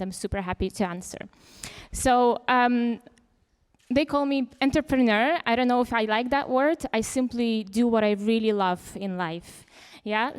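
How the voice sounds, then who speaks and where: A woman's voice: fairly high pitch, clean tone. Ukrainian-born woman speaking freestyle in a hall. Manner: chatty, many fillers like "you know". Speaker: conference speaker. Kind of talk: informal talk without slides. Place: lecture hall. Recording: reverberant hall.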